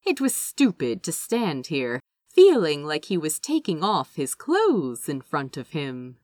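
Female audiobook reader, expressive storytelling, measured pace, close dry recording. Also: low-pitched, no room sound. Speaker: audiobook reader of fan fiction. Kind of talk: expressive scripted story narration.

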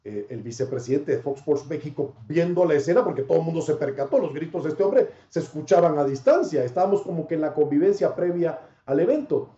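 A man who works as a sports reporter, answering interview questions as an animated, expansive storyteller. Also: fairly high pitch, fast talker, hardly any pauses. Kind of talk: interview answers, anecdote telling. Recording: dull, roomy sound.

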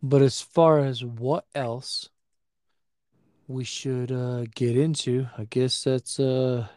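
A man's voice: medium pitch, fairly even intonation, takes it slowly, a little mellow, dry recording, clean studio sound. A man hosting a podcast in a rambling, laid-back, sweary casual chat. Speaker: podcast host.

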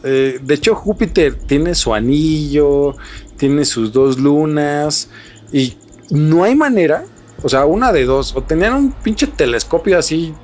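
A man's voice: a little high, lively intonation, unhurried, darkish tone. A man with a Mexican accent hosting a podcast, telling things in a casual, colloquial way and repeating words for emphasis. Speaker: podcast host.

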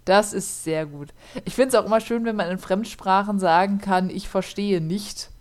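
A woman's voice: medium pitch, moderate pace. A woman, co-hosting a casual podcast and narrating a true crime case, chatty with lots of fillers. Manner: chatty, laughs often, many fillers.

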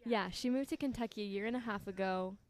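A woman's voice: mid-range voice, fast, a little mellow, no echo. A woman hosting a casual interview at a friend's house, bubbly and laughing often as she talks. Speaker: podcast host.

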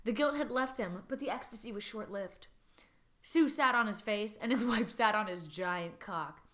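A woman co-hosting a comedy podcast, giving a deadpan dramatic reading that keeps breaking into laughter. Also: mid-range voice, normal speed. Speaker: podcast co-host reading fan fiction aloud.

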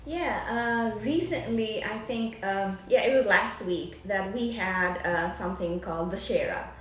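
A woman's voice: medium pitch, unhurried, a little hiss or hum. A woman from Nepal, a dentist who owns her practice, chatting in an interview with many fillers and restarts. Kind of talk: casual interview chat. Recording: echoey room, distant mic.

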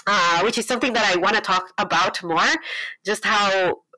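A woman's voice: medium pitch, moderate pace, pushed and bright, no echo, poor audio. A woman from Colombia with a Colombian Spanish accent, speaking as a podcast guest in a frank, thoughtful way with wry humor. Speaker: guest, pastor. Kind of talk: podcast interview.

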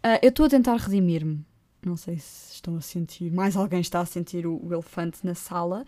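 A young woman with a European Portuguese accent hosting her own podcast, chatting casually and poking fun at herself with quick asides. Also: lowish voice. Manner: joking, self-mocking, lively asides. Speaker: podcast host. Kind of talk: casual solo podcast chat. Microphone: close podcast microphone.

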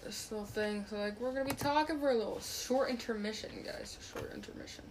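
A young woman with a fairly high voice hosts a casual online podcast. She talks in a playful, rambling way full of fillers. A faint hiss of noise sits under her voice. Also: fast. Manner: chatty, filler-heavy, playful. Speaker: podcast host chatting with strangers online. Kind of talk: casual chat.

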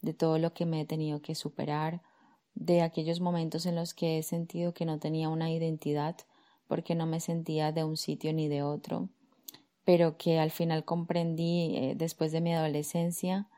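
A woman, a career coach calmly telling her own story on a podcast, soft fillers between thoughts. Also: sits low. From Colombia. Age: 30s. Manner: calm, reflective, with frequent soft fillers. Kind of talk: personal storytelling monologue.

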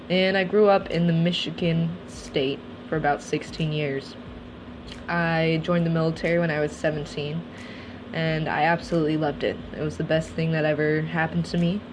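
A woman's voice: sits low, moderate pace, noisy background. A woman in her twenties, originally from Russia, hosting a podcast and talking earnestly and reflectively about her life. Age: 20s. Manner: earnest, reflective, steady delivery. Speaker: podcast host. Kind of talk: personal monologue podcast.

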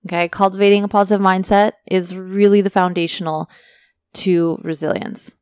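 A woman hosting a casual motivational podcast, talking chattily to her listeners while her voice is going hoarse. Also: mid-range voice, fairly even intonation, moderate pace, a little mellow, no room sound, clean studio sound. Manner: chatty, motivational, addresses listeners as you guys. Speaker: podcast host. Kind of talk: casual solo podcast talk.

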